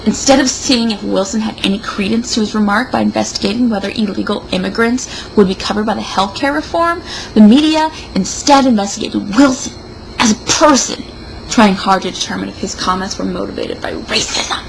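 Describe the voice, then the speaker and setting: Casting: woman, gravelly fry in a mid-pitched voice, tipsy mock-serious read-aloud with drawn-out emphasis. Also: noisy background. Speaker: show host reading an opinion article aloud. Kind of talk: theatrical read-aloud with mocking asides.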